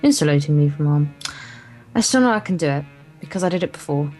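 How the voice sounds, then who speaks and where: Low-pitched woman reading aloud over guitar music. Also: lively intonation, fast talker, dark tone. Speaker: guest co-player reading the narration aloud. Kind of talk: reading a visual novel aloud, informal let's play. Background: game's guitar music.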